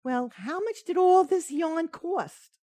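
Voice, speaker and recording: A woman's voice: extremely high pitch, animated, slow, mellow, no echo, heavily acted. A woman playing a naive but cheeky household maid in an old-time radio sitcom, with guileless comic questions and remarks. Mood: puzzled, guileless.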